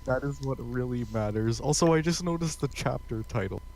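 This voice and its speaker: A man reading character lines aloud in a halting, amused, everyday way. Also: medium pitch, a little mellow. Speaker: co-reader of character dialogue.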